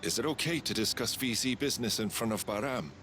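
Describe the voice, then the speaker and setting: An actor playing a cautious, deferential alien animal tamer in a video game, with an earnest way of talking. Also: male voice, medium pitch, fast, noisy background, close to an everyday voice. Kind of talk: video game cutscene acting.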